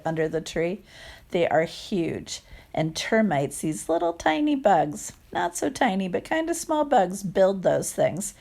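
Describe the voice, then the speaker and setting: Lady reading a kids story out loud, real slow, expressive, stops to chat w the kids. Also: lowish voice. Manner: expressive, acts out sound words, asks listeners questions. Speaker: teacher reading a picture book to children. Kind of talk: animated read-aloud storytelling with chatty asides.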